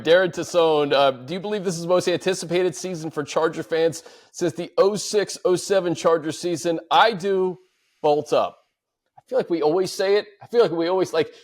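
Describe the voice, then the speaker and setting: A man's voice: on the high side, a little mellow. A man hosting a sports talk show in a casual, conversational style, chatting and reading out fan questions.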